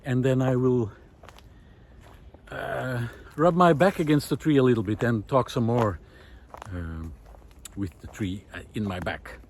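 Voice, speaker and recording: A man talking unscripted and reflectively to camera outdoors in a wooded park, pausing often mid-sentence between short phrases. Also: medium pitch, a little mellow. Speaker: vlogger. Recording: clear outdoor background noise.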